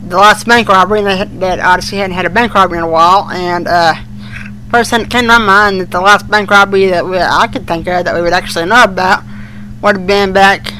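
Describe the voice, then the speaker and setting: A young podcast co-host with a bright, forward voice and middling pitch chats informally. There is faint noise behind the casual discussion. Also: poor audio.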